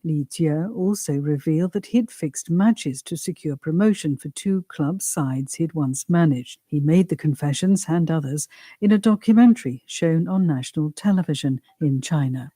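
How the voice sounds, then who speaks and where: A woman's voice: low voice, dark tone, no room sound. A woman reading a scripted international radio news bulletin. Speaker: news reader.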